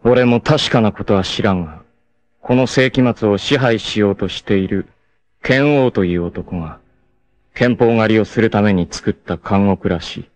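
An actor playing a proud, cool martial arts warrior in a 1980s anime, with a sardonic, dramatic delivery. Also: male voice, fairly low pitch, normal speed, close to an everyday voice. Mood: grave, cool. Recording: noisy 1980s anime soundtrack.

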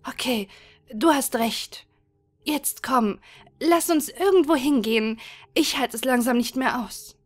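Woman acting a high-voiced, coaxing bicycle girlfriend. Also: measured pace, soft background music. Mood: eager, coaxing. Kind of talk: audio drama acting.

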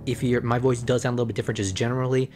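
Slightly hoarse man, rambling game commentary. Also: medium pitch, flat delivery, racing, darkish tone, a little hiss or hum. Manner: rambling, chatty, frequent fillers and self-corrections. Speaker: gameplay commentator. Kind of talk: casual gameplay commentary. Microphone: desk microphone.

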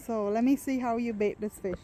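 High-pitched, eager woman vlogging outdoors. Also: fairly even intonation, a little fast, warm tone, poor audio. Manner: exclamatory, eager. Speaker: travel vlogger. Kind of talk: casual chat while filming. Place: outdoors at a seaside beach and rocks. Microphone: handheld camera held by the speaker. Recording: outdoor noise, lapping water.

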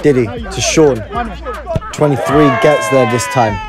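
A man giving live amateur football play-by-play, excitable and prone to raising his voice at big moments. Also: medium pitch, unhurried. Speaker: football match commentator. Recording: music and crowd noise behind, outdoors. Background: music and outdoor crowd sounds.

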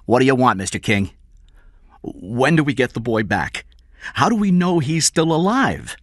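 A man's voice: mid-range voice, sing-song, normal speed, no room sound, studio quality, voice pushed up. Strained, defensive wealthy man, acted by a male reader. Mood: anxious. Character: tense, defensive wealthy father under strain.

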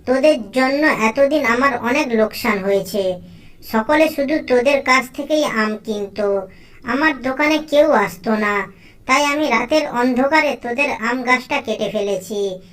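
Cartoon rival bird, woman performer as a gloating, spiteful cuckoo seller, high-pitched, boastful and taunting. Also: a little slow, a bit bright.

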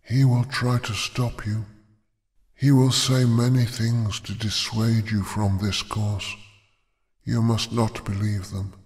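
A man voicing an ancient, imprisoned female dragon goddess who speaks slowly and with command. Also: fairly low pitch, little pitch movement, a little mellow. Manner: slow, measured, otherworldly.

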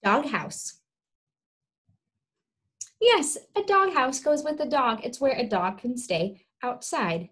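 A young woman with a high voice leads a lesson for little children. She speaks in short, simple phrases and repeats them often. Her manner is encouraging, like a teacher guiding pupils step by step, picked up by a device mic in a small room. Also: expressive, slow pace, pauses often, a bit bright. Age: young adult. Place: small room indoors, sitting on the floor.

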